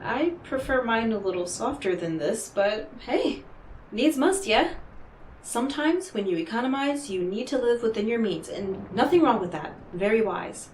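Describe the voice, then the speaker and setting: A woman's voice: on the high side, expressive, reverberant, slight background noise, close to an everyday voice, poor audio. A woman playing an enigmatic, devilish stranger in a roleplay audio drama, speaking coyly and theatrically to the listener with teasing condescension. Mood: coyly friendly. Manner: coy, deliberate, theatrical, talking directly to the listener.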